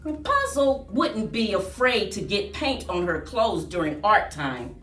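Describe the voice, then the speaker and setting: A woman reading aloud to children as a storyteller, with an expressive, singsong delivery. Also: mid-range voice, unhurried. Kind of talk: read-aloud storytime with rhyming chant. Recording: roomy echo, distant mic, faint music.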